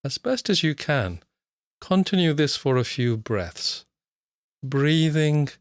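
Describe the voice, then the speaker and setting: A man guiding a mindfulness meditation in a soothing, measured manner with long pauses. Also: mid-range voice, no room sound, clean studio sound. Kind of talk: guided body scan meditation narration.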